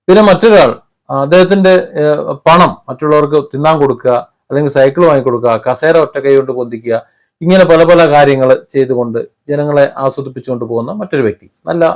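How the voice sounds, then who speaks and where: Man, slightly high-pitched voice, opinion commentary, critical, emphatic, halting delivery. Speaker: commentary vlogger.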